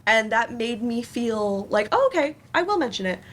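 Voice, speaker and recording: A woman's voice: a little high, lively intonation, moderate pace, a little hiss or hum. A woman on a theater panel telling a personal story informally, acting out what people said as she retells it. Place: indoor library event room.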